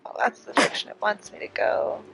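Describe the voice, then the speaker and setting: A woman's voice: medium pitch, animated, a little fast, very noisy. A woman streaming a video game with casual, slangy, chatty commentary and a congested voice. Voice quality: congested, breathy nose. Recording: loud game music and effects behind.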